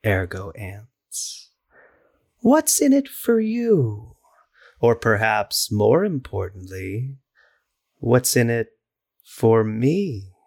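An actor playing a sinister, falsely soothing doctor who croons endearments in a patronizing sing-song. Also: male voice, medium pitch, sing-song, extremely slow, pauses often, a little mellow, heavily acted. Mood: smug. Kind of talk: horror audio drama acting.

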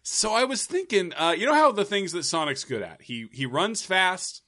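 A man's voice: high voice, expressive, normal speed, sharp tone, voice pushed up. A man improvising an eager male game company employee who keeps breaking into giggles. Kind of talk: improvised comedy sketch.

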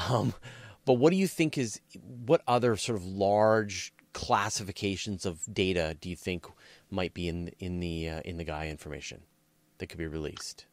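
A man's voice: deep voice, normal speed. A man hosting a casual science interview, thinking aloud informally as he builds long, rambling questions. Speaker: interviewer, host.

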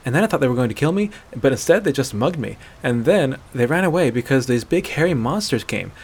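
A man's voice: mid-range voice, expressive, fairly quick, husky. A man voicing a stubborn, sarcastic young hunter in a dramatic fantasy story, blunt and incredulous in his challenges. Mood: breathless, excited. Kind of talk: dramatic fantasy audiobook character voice.

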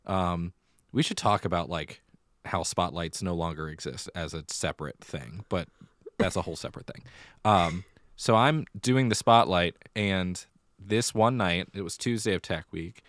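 A man's voice: low voice, moderate pace, fairly bright tone, dry recording, studio quality. A man co-hosting a casual podcast, chatting and telling stories in a theatrical way. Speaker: podcast co-host; middle school theater director. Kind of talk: casual conversational podcast chat.

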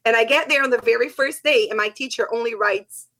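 A woman with a fairly high voice speaks English as a second language, her first being Portuguese. She talks in animated, quick bursts, like a guest sharing stories on a chatty podcast. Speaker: podcast guest, Hebrew teacher. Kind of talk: casual conversation.

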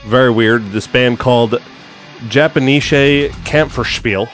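A man's voice: medium pitch, slow, fairly bright tone, soft background music. A man co-hosting a podcast, reviewing records in a casual conversational way. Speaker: podcast co-host. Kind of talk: casual music review chat.

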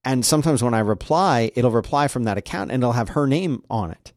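A man's voice: medium pitch, lively intonation, brisk, dry recording, studio quality. A man co-hosting a podcast in casual chat, rambling with frequent uhs and restarts. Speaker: podcast co-host.

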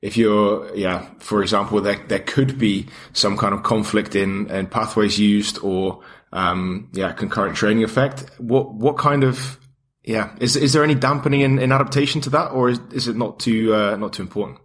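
A man interviewing a guest, asking hesitant, halting questions while losing his voice. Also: lowish voice, fairly even intonation, fairly bright tone. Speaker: podcast interviewer.

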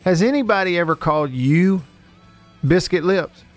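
A man's voice: sits high, animated, moderate pace, faint music behind. A man hosting a sports radio show with casual, teasing banter. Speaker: radio talk show host.

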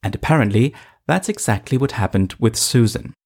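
A man from eastern Germany narrating a reported feature in a measured, scripted way. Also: mid-range voice, lively intonation, vocal fry, a little mellow. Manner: measured, storytelling delivery.

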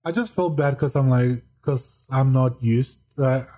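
Deadpan monotone man, mellow voice. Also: medium pitch, low-quality recording. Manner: halting, restarts often. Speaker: podcast guest. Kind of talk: casual chat.

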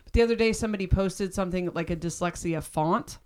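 A woman with a raspy, deep voice that cuts out in spots, chatting loosely and candidly as a podcast host. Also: quick pace, a little mellow. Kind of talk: casual chat.

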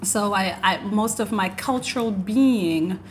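A woman from South Sudan and Ethiopia chats as a podcast guest. She tells lively stories, slips into the voices of people she quotes and laughs easily. Steady background noise sits under her voice. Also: medium pitch, unhurried. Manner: animated storyteller, acts out quotes, laughs often. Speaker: podcast guest, adjunct professor. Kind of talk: casual podcast interview.

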